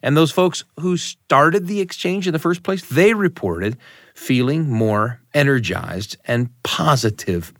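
Animated male host, very lively intonation. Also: mid-range voice, measured pace, no room sound, clean studio sound. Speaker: radio show host. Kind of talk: scripted, conversational story-show introduction.

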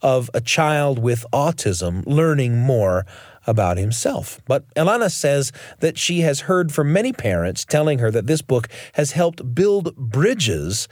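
A man's voice: mid-range voice, big pitch swings, measured pace, a little mellow, no echo, clean studio sound. A man hosting a radio show, reading scripted narration. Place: studio.

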